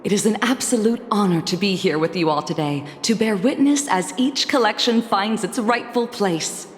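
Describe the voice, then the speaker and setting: A woman voicing a poised, formal female auctioneer in a video game cutscene, announcing in a measured way. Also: mid-range voice, a little hiss or hum, close to an everyday voice. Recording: studio voice with long reverb and music.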